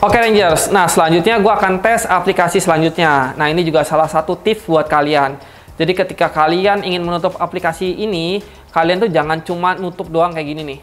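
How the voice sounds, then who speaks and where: A woman's voice: lowish voice, fairly quick. A woman giving a chatty, slangy product review, talking straight to her viewers. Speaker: car audio reviewer.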